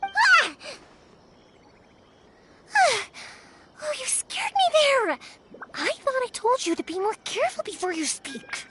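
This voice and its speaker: An actress playing a kind, cheerful young fireworks maker in a video game, speaking in a chatty, casual way. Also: female voice, extremely high pitch, very animated, slow, long pauses, noisy background, heavily acted. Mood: startled, scolding. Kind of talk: English video game voice acting.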